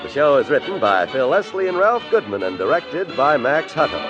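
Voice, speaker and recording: A man's voice: on the high side, big pitch swings, fairly quick. A man announcing a 1950s radio comedy in a formal, emphatic way. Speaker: show announcer. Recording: dull old radio transcription with music.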